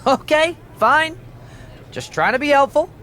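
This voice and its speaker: A high, eager chummy producer. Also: male voice, big pitch swings, measured pace, pushed and bright, slight background noise, exaggerated voice. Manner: eager, chummy, slightly condescending. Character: eager young male associate producer, overly chummy.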